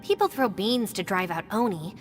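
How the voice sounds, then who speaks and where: A woman's voice: mid-range voice, big pitch swings, moderate pace, music in the background, close to an everyday voice. Woman as a wry deputy, echoing reverb. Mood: matter-of-fact. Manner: wry, matter-of-fact. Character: dry, sensible young female deputy who teases her boss. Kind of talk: video game voice acting.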